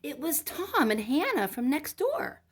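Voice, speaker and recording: Slow, expressive woman reading to children. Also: sits high. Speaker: children's story reader, preschool teacher. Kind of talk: expressive picture-book narration for young children.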